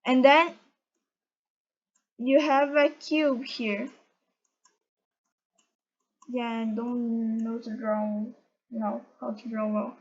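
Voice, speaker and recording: A high-voiced woman from Brazil speaks English as she explains math symbols. She talks slowly and haltingly and keeps correcting herself. The home recording sounds dull and lo-fi. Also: fairly even intonation, a little mellow, slight background noise. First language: Portuguese. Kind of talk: unscripted explanation while drawing.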